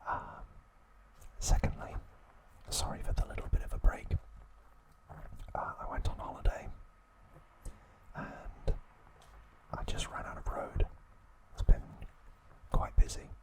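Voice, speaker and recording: A man hosting ASMR, whispering in hushed, drawn-out short phrases with frequent pauses. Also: poor audio. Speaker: host of an ASMR channel. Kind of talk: ASMR, whispered trivia talk and reading aloud.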